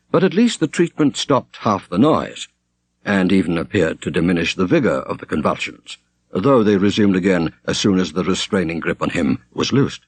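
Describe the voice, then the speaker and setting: A man narrating an audiobook in a measured, expressive storytelling style with formal diction. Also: sits low, husky, no room sound. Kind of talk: scripted literary narration, storytelling.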